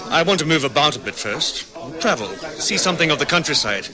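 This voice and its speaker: A man's voice: a little high, expressive, fairly quick, a crowd in the background, lightly acted. An actor playing a polite, well-off, educated young man with a guarded, understated manner in an old radio drama.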